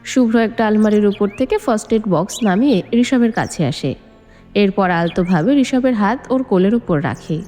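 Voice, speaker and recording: A woman's voice: mid-range voice, expressive, normal speed, warm tone. A woman reading a romance novel aloud as a dramatic story narrator. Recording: long reverb, music underneath. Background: background music.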